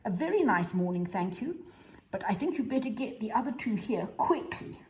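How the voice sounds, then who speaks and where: A woman's voice: on the high side, sing-song, normal speed, a little mellow, pitched above the performer's own voice. A woman voicing a polite, fussy male frog on a lily pad in a children's story.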